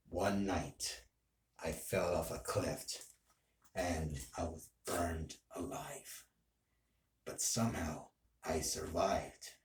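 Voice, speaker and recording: A man playing a vengeful anime villain bent on ruling the world, delivering a menacing, boastful, halting monologue. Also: low voice, recorded from a distance, slight background noise. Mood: brooding, vengeful. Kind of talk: solo anime villain role play. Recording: echoey room.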